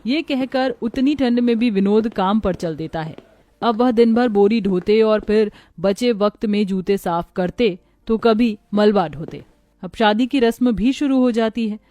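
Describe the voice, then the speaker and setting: A woman narrating a children's cartoon story in a gentle, storytelling way. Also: mid-range voice, a little slow, no room sound.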